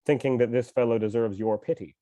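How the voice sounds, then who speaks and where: A man who is mildly ill with a cough reads a scripture translation aloud at home, in a measured, slow recitation with pauses. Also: medium pitch, fairly even intonation, dark tone, dry recording. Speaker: scripture reader and teacher.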